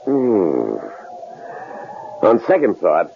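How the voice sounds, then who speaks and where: An actor playing a shrewd middle-aged psychology professor in a radio drama, halting and avuncular, repeating his words. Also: deep voice, huge pitch swings, gravelly, heavily acted. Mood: explaining, rueful. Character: shrewd older psychology professor, posing as menacing stranger. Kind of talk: 1970s radio drama acting. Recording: muffled, hissy old radio recording.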